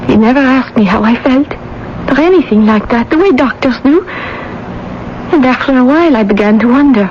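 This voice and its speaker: A woman's voice: sits high, animated, normal speed. A woman in a radio drama playing a poor seventeen-year-old Parisian seamstress, theatrical, plaintive and naive. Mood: earnest wondering. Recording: dull, noisy old radio broadcast.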